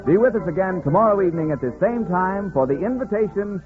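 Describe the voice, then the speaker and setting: A man's voice: sits high, animated, fast, loud music behind the voice. A man announcing on 1940s radio, reading commercials with a polished, emphatic sales delivery. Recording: muffled, phone-like 1940s radio recording.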